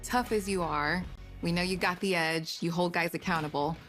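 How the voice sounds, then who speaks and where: A woman's voice: on the low side, steady tone, moderate pace, a bit bright, faint music behind. A woman reporter interviewing a head coach with probing questions. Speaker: sideline reporter. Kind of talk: sit-down interview.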